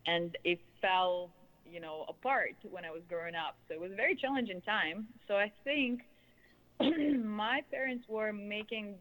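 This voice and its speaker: A woman in her thirties with a Russian accent, answering interview questions in loose, flowing, expressive phrases peppered with "you know". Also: medium pitch, measured pace, rough recording. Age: 30s. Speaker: guest, sound healer and musician.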